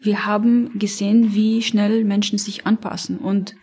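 A woman's voice: medium pitch, level tone, a little slow, dark tone, slightly rough. A woman from Lebanon with an Arabic accent, whose first language is Arabic, answering interview questions thoughtfully with frequent hesitations. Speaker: podcast guest, campaigner.

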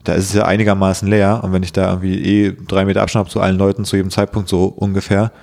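A young man, slightly hoarse, chatting casually as a podcast co-host and rambling with many fillers. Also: low-pitched, level tone, very fast, darkish tone.

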